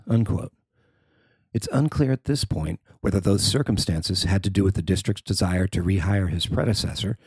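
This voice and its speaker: Low, gravelly man reading news. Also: a little mellow, no echo. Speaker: newspaper reporter reading own article. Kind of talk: scripted news narration.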